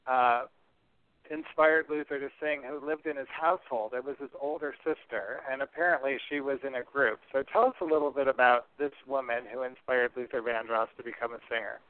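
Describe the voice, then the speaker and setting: A man's voice: mid-range voice, steady tone, brisk, no room sound. A man hosting a podcast in an upbeat interviewing style, heard over a narrow line. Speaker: podcast host.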